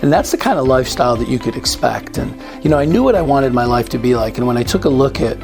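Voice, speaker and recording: Man, insurance agent, relaxed conversational testimonial, music underneath. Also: mid-range voice, fast talker. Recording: background music.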